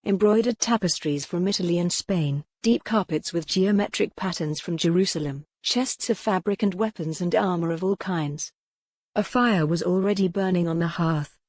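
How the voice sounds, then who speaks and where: A woman's voice: fairly low pitch, a little mellow, husky, no room sound. A woman reading scripted historical romance narration as an audiobook narrator.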